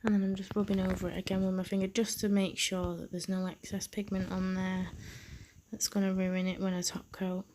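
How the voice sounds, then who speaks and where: A young woman, a nail technician, narrating a tutorial in a soft half-whisper with a slightly croaky voice. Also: mid-range voice, monotone, fairly quick, slight background noise. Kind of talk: tutorial voice-over.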